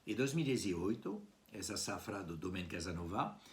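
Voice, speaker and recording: Male wine presenter, French native with a French accent, low-pitched voice, informal and a little halting in his delivery. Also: big pitch swings, slight background noise. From France. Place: at home indoors.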